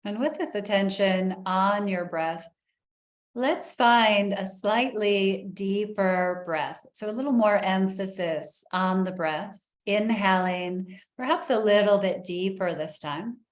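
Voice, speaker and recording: A woman leading a guided breathing meditation in a soft, soothing way, with long pauses. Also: mid-range voice, reverberant.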